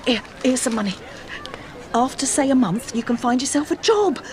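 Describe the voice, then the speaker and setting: A woman's voice: sits high, animated, normal speed, a little hiss or hum. A woman playing an anxious, protective middle-aged English mother on the run in an audio drama, with an urgent, hesitant motherly manner. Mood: urgent, grieving.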